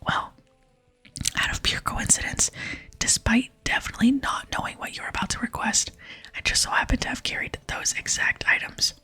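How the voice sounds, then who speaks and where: An ASMR gamer voicing a wry, over-explaining game adventurer in a wordy, mock-innocent, breathy whisper. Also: normal speed, bright, forceful tone, lightly acted. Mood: mock surprise. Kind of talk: whispered game dialogue reading.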